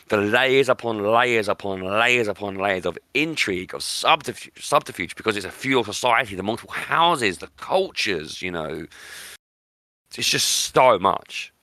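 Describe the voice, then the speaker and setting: A man's voice: on the low side, sharp tone, no echo. A man hosting a podcast, discussing books in an opinionated, emphatic way and repeating words for stress. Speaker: podcast host.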